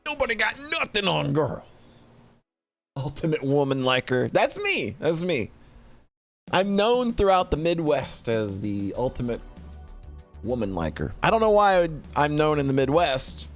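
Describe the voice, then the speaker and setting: A man's voice: on the high side, huge pitch swings, measured pace, faint music behind. A middle-aged man chatting casually to a livestream, rambling playfully and often repeating himself. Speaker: streamer presenting a tier list. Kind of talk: casual livestream chat and commentary.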